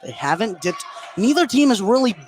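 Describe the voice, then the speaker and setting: A high-voiced male sports commentator calls a basketball game as it happens. He is excitable and leans hard into the big moments. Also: a little fast, slight background noise. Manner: excitable, emphatic on big plays. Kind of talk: live basketball play-by-play commentary.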